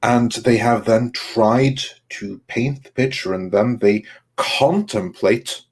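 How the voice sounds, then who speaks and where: A man giving casual panel commentary in a halting, long-winded explanatory way. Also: medium pitch, husky.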